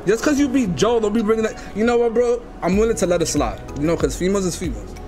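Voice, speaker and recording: Young guy w a high voice pranking people on the phone, pushy, slangy, music playing behind him. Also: animated, fast, a little mellow. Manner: pushy, demanding, streetwise slang, bursts into laughter. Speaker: streamer making prank calls. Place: home streaming room, indoors. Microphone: headset.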